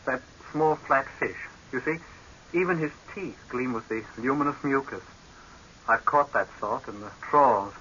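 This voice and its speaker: Through the hiss of a dull old radio recording comes a young doctor of science. He is earnest and formal, with a medium-pitched, forceful voice and a guarded manner, in period drama style. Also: unhurried. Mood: eager, deferential. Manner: formal, deferential, restrained. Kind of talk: 1930s radio horror drama acting.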